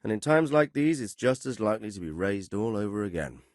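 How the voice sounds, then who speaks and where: An actor playing a stern, dry-witted middle-aged medieval lord in a video game, speaking with formal, measured authority and a wry, sardonic edge. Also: male voice, on the low side, big pitch swings, no echo. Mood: grave. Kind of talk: video game dialogue acting.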